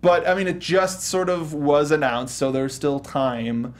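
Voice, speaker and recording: A man's voice: a little high, unhurried. A man hosting a casual panel discussion of gaming news, speaking in stop-start phrases. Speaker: host.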